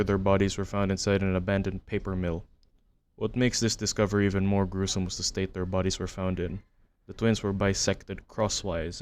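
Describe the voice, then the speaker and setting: A man narrating a horror commentary as a video essayist, measured and matter-of-fact, reading from a script. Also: low-pitched, a little mellow, clear, resonant tone. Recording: music under the voice-over. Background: background music.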